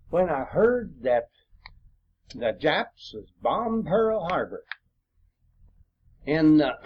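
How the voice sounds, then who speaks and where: An elderly man with a rural American accent, a war veteran reminiscing informally in a folksy, rambling way. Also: a little high. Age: 80s or older. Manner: folksy, rambling storytelling with frequent throat-clearing pauses. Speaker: war veteran telling his memories. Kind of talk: informal oral-history reminiscence. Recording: dull, lo-fi home recording.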